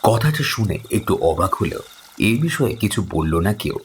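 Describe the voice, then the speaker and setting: A man's voice: fairly low pitch, sing-song, moderate pace, a little hiss or hum. A man narrating a scripted horror story in a grave, suspenseful manner. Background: background music.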